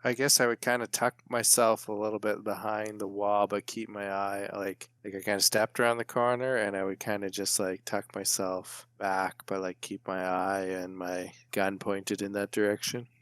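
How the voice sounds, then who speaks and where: A man's voice: on the low side, level tone, moderate pace, hardly any pauses, fairly bright tone, clean tone, dry recording, studio quality. A man playing a tabletop game, casually and tentatively describing his moves.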